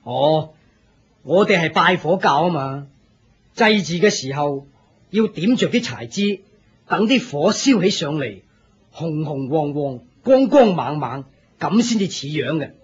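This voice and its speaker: A man's voice: fairly high pitch, big pitch swings, unhurried, breathy edge, lo-fi sound, full character voice. A man with a Hong Kong Cantonese accent playing a friendly, simple, devout senior disciple in a radio drama, explaining things plainly and patiently.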